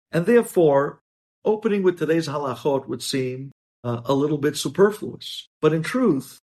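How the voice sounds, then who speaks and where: A man's voice: on the high side, darkish tone. A man speaking as a rabbi giving a religious lesson, explaining emphatically and repeating key phrases. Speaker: rabbi teaching religious law. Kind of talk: religious lesson with hypothetical examples.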